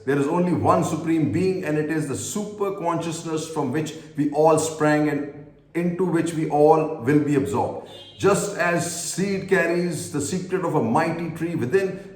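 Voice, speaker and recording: A man's voice: on the high side, a little mellow, echoey room, poor audio. A man reading an essay aloud with his own asides, earnest and tripping over words now and then. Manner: earnest, stumbles and self-corrects while reading.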